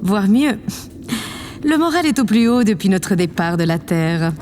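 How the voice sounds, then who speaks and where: An actress playing a vain, condescending woman president in a French audio drama, measured and haughty. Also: medium pitch, sing-song, a little mellow, slight background noise. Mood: smug.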